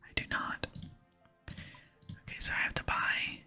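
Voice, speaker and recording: An ASMR gaming host whispering casual commentary, softly murmuring thoughts aloud while playing. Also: slow, faint music behind. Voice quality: soft breathy whisper. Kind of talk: ASMR gameplay commentary, reading dialogue aloud.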